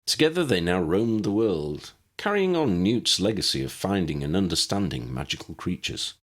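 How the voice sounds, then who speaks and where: A man reads a story aloud as an audiobook narrator. His voice sits low and has a husky, slightly rough texture. The delivery is scripted narration. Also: big pitch swings, normal speed, fairly bright tone.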